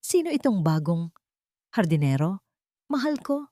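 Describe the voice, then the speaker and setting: A woman's voice: lowish voice, sing-song, unhurried, mellow, dry recording. A smug, jealous rich man from an audio romance, as a woman narrator reads him. He sneers with false politeness, in a dry, close recording. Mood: mocking. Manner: mocking, feigned politeness.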